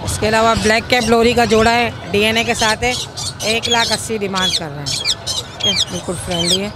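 A woman's voice: a little high, steady tone, brisk, very noisy. An older woman, a bird seller, steadily listing prices at an outdoor market stall into a handheld mic.

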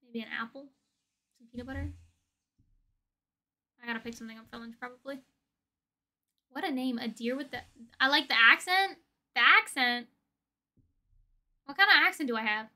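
A young woman hosting a livestream, chatting casually with viewers in a playful, slangy, expressive way. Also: sits high, normal speed, long pauses. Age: young adult. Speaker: livestream host. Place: indoor room with desk and shelves of collectibles.

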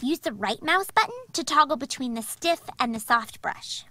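High, perky farm girl. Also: animated, moderate pace, bright, forceful tone, full character voice. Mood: instructive. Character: perky young farm girl, helpful and friendly. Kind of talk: video game dialogue and tutorial.

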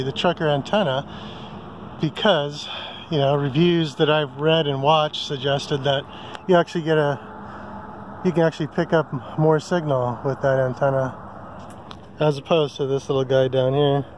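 Man, slightly high voice, rambling how-to vlog, lots of ums, outdoors, faint background noise. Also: a little mellow. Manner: rambling, many ums and self-corrections. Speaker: vlogger. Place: outdoors at a riverside campsite. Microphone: handheld phone camera.

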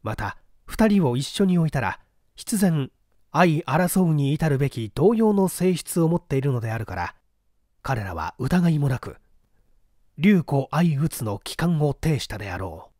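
A man reading a period detective novel aloud in a measured, formal storytelling manner. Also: fairly high pitch, sing-song, a little mellow, no room sound, clean studio sound. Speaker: audiobook reader. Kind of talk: scripted literary narration of a period detective novel.

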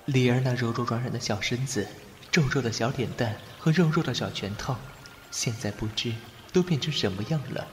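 A man acting a devoted, submissive subordinate in an ancient Chinese audio drama, speaking in a halting, emotional way. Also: medium pitch, slight background noise, lightly acted. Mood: tender longing.

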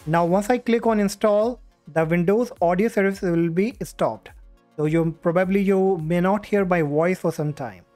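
A man hosting a step-by-step software tutorial, speaking into a USB condenser microphone. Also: high-pitched, normal speed. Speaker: tutorial host. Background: music.

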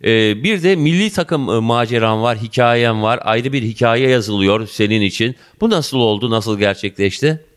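A man in his fifties interviewing a student in a school office, effusive and warm in address, holding a handheld mic. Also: mid-range voice, moderate pace, hardly any pauses, clear, resonant tone. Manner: effusive, addresses viewers and guest as "dear". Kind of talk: local TV interview.

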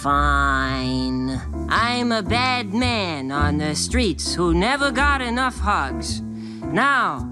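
A man's voice: high-pitched, big pitch swings, drawn-out words, exaggerated voice. A streetwise cartoon demon. He sounds sardonic and flippant, with a theatrical delivery over music. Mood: sarcastic. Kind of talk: animated series dialogue.

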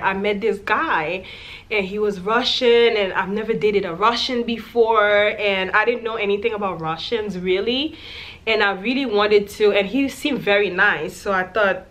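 A woman's voice: medium pitch, moderate pace, fairly bright tone. A young adult woman vlogger telling a candid storytime to camera, chatty, with lots of "like" and "you know". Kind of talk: casual storytime monologue to camera. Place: small indoor room.

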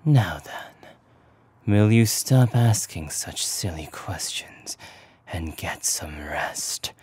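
A man voicing a menacing, possessive demon in a breathy ASMR roleplay whisper, coolly polite and formal. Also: low voice, lively intonation, darkish tone, exaggerated voice. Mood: softly menacing. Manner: slow, formal, deliberate.

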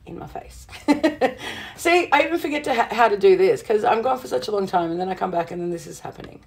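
A middle-aged woman with a slightly husky voice, chatting casually to viewers on her livestream from a cottage room, bubbly and full of fillers. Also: medium pitch, animated, a little fast. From Southern Hemisphere. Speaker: witchcraft livestream host. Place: witchy cottage room indoors.

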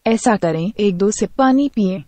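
A woman narrates a scripted health-tips voice-over in an even, reading tone. Her voice is dark and mellow, recorded dry and close with no room sound. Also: mid-range voice, moderate pace, no echo.

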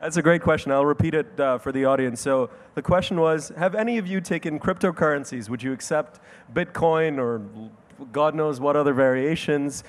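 A young man moderating a conference panel through a handheld mic, brisk and lightly joking. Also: on the high side, long reverb tail. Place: large conference stage.

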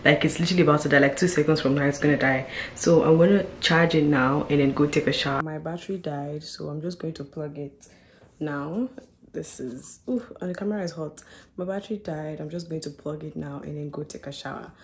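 A chatty young woman in her twenties talks casually to her camera from a small bedroom, calling her viewers "guys" as she shows things off. Her voice sits fairly low, and a faint room hum runs under it. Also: steady tone, brisk. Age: 20s. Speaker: vlogger. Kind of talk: casual vlog chat to camera. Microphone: camera mic at arm's length and across the room.

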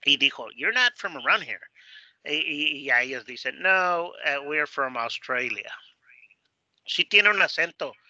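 Bright, forceful male English teacher. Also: a little high, animated, unhurried, dry recording. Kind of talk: online language lesson, explaining a dialogue. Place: online video class, close dry mic. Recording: dull lo-fi online class audio.

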